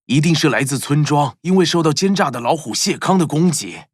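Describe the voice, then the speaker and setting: A steady wolf dad for a kids cartoon, calm and in charge, dry close sound. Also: male voice, on the high side, fairly quick, no room sound. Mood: concerned. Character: steady, responsible male wolf father and elder. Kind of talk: children's cartoon story voice acting.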